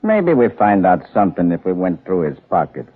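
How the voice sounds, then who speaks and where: An actor in a 1940s radio drama playing a dim-witted, menacing gangster goon with blunt, ungrammatical talk. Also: male voice, sits low, big pitch swings, normal speed, slightly rough, heavily acted. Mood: threatening. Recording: tinny, narrow-band 1940s radio recording.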